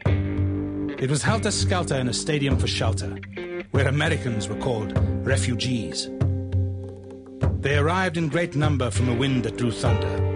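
A man performing a dramatic, scripted spoken-word poem as part of a radio theatre show. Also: mid-range voice. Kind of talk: dramatic spoken-word recitation over music.

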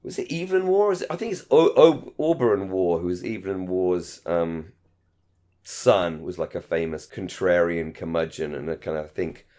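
A man's voice: deep voice, animated, moderate pace, mellow. A man co-hosting a podcast in casual discussion, rambling and repeating phrases for emphasis. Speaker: podcast co-host.

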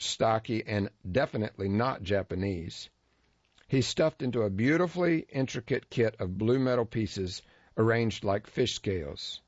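A man's voice: fairly low pitch, a little mellow, dry recording. A man reading a first-person feature story aloud in a wry, dryly humorous way. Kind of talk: scripted first-person narration. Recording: dull lo-fi dry recording.

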